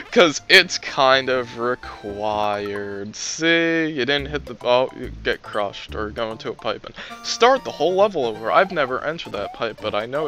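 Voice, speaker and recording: A man's voice: medium pitch, sharp tone. A young man giving casual, rambling commentary over his gameplay, wry and self-deprecating, his voice strained from talking a long time. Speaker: let's play commentator.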